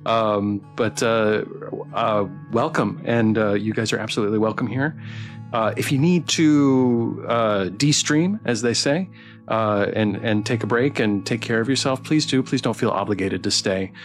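Welcoming male streamer over soft guitar music. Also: fairly low pitch, unhurried. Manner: welcoming, appreciative, rambling. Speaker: game streamer and host. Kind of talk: casual livestream chat while playing a visual novel. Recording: music behind the voice. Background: plucked guitar game music.

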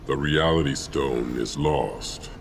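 A gravelly, very deep Titan warlord. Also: male voice, music in the background, heavily acted. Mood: grave, deferential. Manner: grave, deliberate, commanding. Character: huge, menacing alien Titan warlord. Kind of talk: dramatic video game villain acting. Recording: dramatic score clearly behind.

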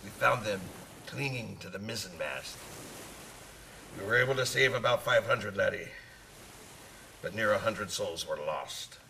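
A hearty old sailor in a radio play, forever spinning yarns. His voice is bright and gravelly, swinging widely in pitch. He talks like an old salt, recorded a little away from the mic. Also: animated, measured pace, pauses often, recorded from a distance, slight background noise, heavily acted, rough recording. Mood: reminiscing. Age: older. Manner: nautical old-salt talk, calls listener laddie. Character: talkative old sailor, hearty teller of sea tales. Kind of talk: radio drama acting.

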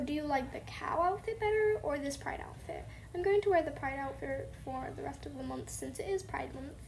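A young woman hosting a casual gameplay video, talking softly and half-whispered, rambling and apologizing, recorded at home. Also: sits high, noisy background. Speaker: gaming channel host. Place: home with family noise.

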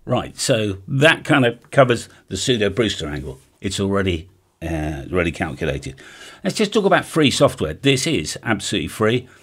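Middle-aged English male presenter, low and husky, informal technical explainer, chatty and emphatic. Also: very animated, moderate pace, fairly bright tone. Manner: conversational, emphatic, checks in with "right?". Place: home music studio room, indoors.

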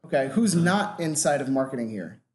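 A man's voice: on the high side, sing-song, fairly quick, a little mellow. An American man from Washington, D.C., giving a casual, filler-heavy lecture on marketing to a live audience. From Washington, D.C., USA. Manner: casual, loose, frequent "uh" fillers. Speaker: guest lecturer on growth hacking. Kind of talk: conference talk to a live audience.

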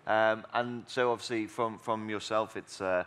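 A man's voice: on the low side, steady tone, moderate pace, a bit bright, clean tone. A man moderating a conference panel, asking long, rambling questions full of fillers.

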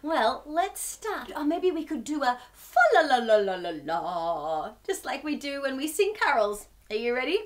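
A woman's voice: sits high, very animated, normal speed, few pauses. A woman in her thirties hosting a children's storytime, reading aloud and chatting expressively in a sing-song way to young children. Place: small furnished living room, indoors.

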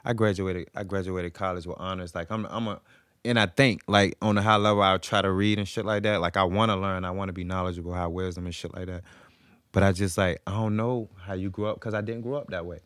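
A young man talks casually into a close podcast mic. His voice sits on the low side and the sound is dry. He rambles in slang, repeating phrases as he works through a thought. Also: steady tone, quick pace, a bit bright, no echo. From Virginia, US. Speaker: podcast guest, recording artist. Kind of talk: casual chat. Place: small podcast room with couches.

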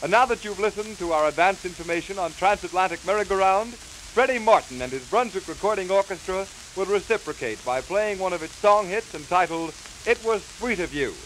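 A man delivering scripted 1930s promotional announcements with brisk, theatrical showman's hype. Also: sits high, animated, a bit bright. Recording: hissy, crackly 1930s 78 rpm record. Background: record hiss and crackle.

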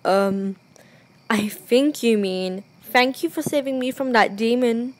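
A woman's voice: a little high, takes it slowly, slight background noise. A woman voicing a snarky, unimpressed demon-hunter pony in a cartoon drama, dry and sarcastic. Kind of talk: animated cartoon audio drama.

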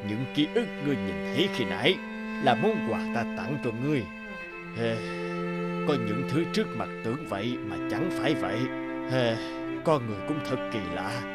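A man voicing a solemn, scornful spirit of death in a cartoon drama, pronouncing slowly. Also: mid-range voice, very animated, close to an everyday voice. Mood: solemn reproach. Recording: loud violin music behind.

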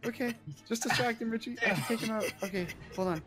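A young man plays a student mage in an improvised fantasy game. He talks urgently and repeats himself, with loud music running over his voice. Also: very high-pitched, fairly even intonation, fast. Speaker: roleplay player acting a student mage. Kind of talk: improvised Minecraft fantasy roleplay.

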